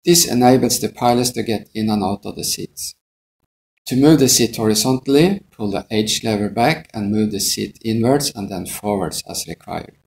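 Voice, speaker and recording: A man's voice: on the low side, normal speed, vocal fry, darkish tone. A middle-aged man, an airline pilot, giving a methodical, scripted instructional narration.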